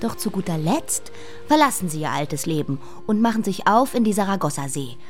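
An actress playing an eager, headstrong woman full of wonder in a radio drama, speaking with excited emphasis. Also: on the low side, a little fast, soft background music.

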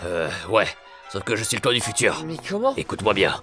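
A man's voice: on the low side, very animated, brisk, pushed and bright, faint music behind. A man playing an agitated, swearing fugitive in a French audio drama. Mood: pained, urgent. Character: panicked, foul-mouthed male fugitive meeting his time-travelling double. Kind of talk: French audio fiction acting.

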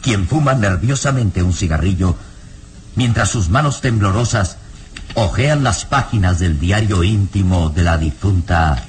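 A man narrating a radio serial in a solemn, suspenseful style with deliberate phrasing. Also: low voice, slight background noise. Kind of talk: dramatic scripted radionovela narration. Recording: radio drama with clear music bed.

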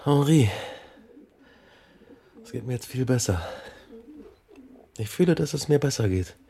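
An actor playing a troubled, earnest young scholar recovering from grave illness in a German radio drama, speaking hesitantly. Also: male voice, medium pitch, lively intonation, a little slow, vocal fry, dark tone. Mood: earnest gratitude. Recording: faint background noise.

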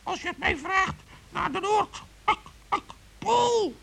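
Really high sharp goose voice for a kids radio play, helpful, honky and exclaiming everything. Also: male voice, animated, takes it slowly, gravelly, exaggerated voice. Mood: helpful, excited. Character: helpful goose giving travel directions.

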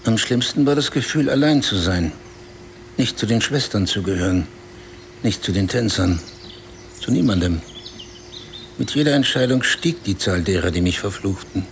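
A man's voice: on the low side, lively intonation, normal speed, pauses often, a little hiss or hum. An actor in a radio play voicing a bitter, self-pitying man who recounts a wild night in a brooding, confessional way.

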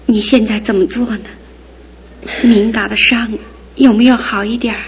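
An actress playing a middle-aged aunt in a radio drama, speaking softly and often in a hushed whisper. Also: female voice, fairly high pitch, steady tone, normal speed, husky. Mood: caring. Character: aging aunt, gentle, grieving an old love.

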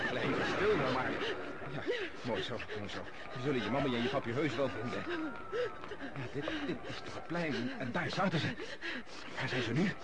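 A man playing a kind-hearted, desperate gentleman rescuer in a radio play, urgent and impulsive yet tender. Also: natural voice. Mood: soothing. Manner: urgent, impulsive, soothing toward the child. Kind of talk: radio drama acting. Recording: very noisy radio play, loud crowd. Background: loud crowd and street rumble.

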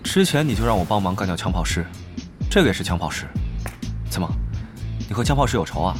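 A man's voice: low-pitched, expressive, fast talker. A man voice actor playing a wary, grumbling young lone gamer in an audio drama, with muttered asides and a Mainland Mandarin accent. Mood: suspicious, irritated. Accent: Mainland Chinese Mandarin. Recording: music behind the voice.

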